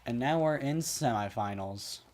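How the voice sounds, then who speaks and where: A man's voice: mid-range voice, animated, a little slow, a little mellow. A man with a stuffy, congested nose, casually commentating a game tournament, rambling with lots of ums and restarts.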